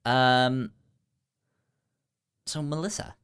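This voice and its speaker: A man co-hosting a film podcast in casual conversation, halting and stuttering as he repeats sounds and words. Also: mid-range voice, steady tone, fairly bright tone, smooth.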